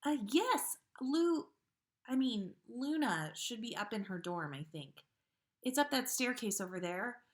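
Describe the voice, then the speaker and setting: A woman's voice: fairly high pitch, sing-song, unhurried, voice pushed up. Woman acting a meek, flustered teenage schoolgirl. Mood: nervous, helpful. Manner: hesitant, stammering.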